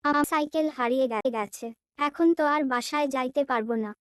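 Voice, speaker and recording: A woman voicing a sweet, earnest young girl in an animated cartoon. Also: high-pitched, moderate pace, clear, resonant tone, no echo. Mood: distressed.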